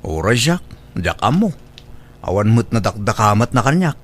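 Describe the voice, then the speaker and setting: A man playing an easygoing driver, very animated intonation. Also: lowish voice, normal speed. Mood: casual. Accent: Ilocano. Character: easygoing male household driver, helpful and deferential. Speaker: drama actor. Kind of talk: Ilocano radio drama acting.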